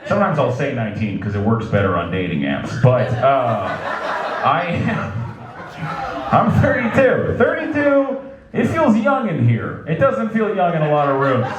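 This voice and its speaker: A man's voice: on the high side, very animated, normal speed. A man in his thirties doing a stand-up set, loose and self-deprecating, chuckling at his own jokes. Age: 30s. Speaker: stand-up comedian. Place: comedy club stage. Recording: echoey club with loud crowd. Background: laughing crowd.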